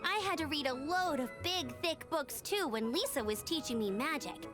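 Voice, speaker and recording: Perky high-voiced young knight scout. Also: female voice, expressive, moderate pace, loud music behind the voice. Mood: encouraging. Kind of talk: video game voice acting.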